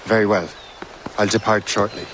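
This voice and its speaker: An actor playing a resolute, terse young sea captain in a video game, plain-spoken and respectful. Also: male voice, on the low side, little pitch movement, normal speed, background noise, natural voice. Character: resolute, self-assured young Templar recruit and sea captain. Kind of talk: dramatic video game acting.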